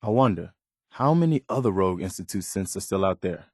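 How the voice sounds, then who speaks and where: A dutiful militia officer in a video game. His voice is on the low side and recorded dry and close. He speaks plainly and earnestly, like a loyal idealist who believes in the cause. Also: lively intonation, no echo. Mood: concerned, serious. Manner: earnest, measured, plain-spoken. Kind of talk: video game character dialogue.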